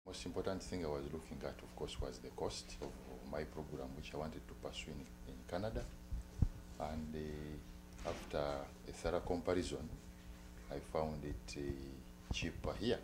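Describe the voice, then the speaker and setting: A man from Uganda, an international student answering interview questions in a halting way with many pauses. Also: extremely low pitch. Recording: noisy hum in the background.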